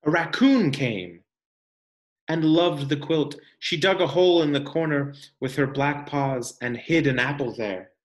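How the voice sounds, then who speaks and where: A man reading a picture book aloud like a teacher to children, expressive and deliberate, pausing for emphasis and guiding questions. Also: on the high side. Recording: echoey room.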